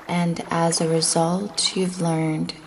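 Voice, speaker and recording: Very slow, creaky-voiced woman guiding meditation. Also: on the low side, little pitch movement, slight background noise. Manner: slow, soothing, breathy near-whisper at times. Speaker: meditation guide. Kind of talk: guided meditation with affirmations.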